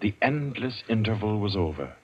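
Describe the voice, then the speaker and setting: A man's voice: fairly low pitch, sing-song, normal speed, husky. A man narrating a crime tale for radio as a solemn, suspenseful storyteller. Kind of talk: dramatic scripted narration for radio drama. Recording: dull radio recording. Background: music.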